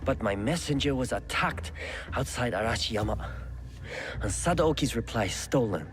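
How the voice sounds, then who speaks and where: An actor playing a weary, ailing samurai lord in a video game, speaking in a formal, measured way. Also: male voice, medium pitch, animated, a little hiss or hum, natural voice. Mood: earnest, resigned. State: coughing, sickly. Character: weary, ailing nobleman, a deposed samurai clan head. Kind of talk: dramatic video game cutscene acting.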